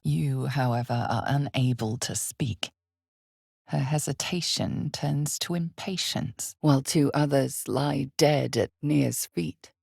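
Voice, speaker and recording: Slow, low-voiced ominous fantasy game narrator. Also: female voice, darkish tone, dry recording, clean studio sound, heavily acted. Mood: grave, measured. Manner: measured, dramatic delivery, often dropping to breathy near-whisper. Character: ominous, knowing female narrator of dark fantasy. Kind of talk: scripted second-person fantasy game narration.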